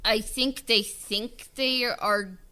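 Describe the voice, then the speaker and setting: Woman, bright tone, casual interview chat, rambling, lots of fillers. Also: a little high, fairly even intonation, takes it slowly. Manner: rambling, heavy use of filler words. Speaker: writer and producer of an audio drama.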